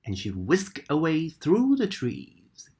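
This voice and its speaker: A woman reading a children's storybook aloud with expressive, dramatic narration that sometimes drops into a whisper. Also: deep voice, slow.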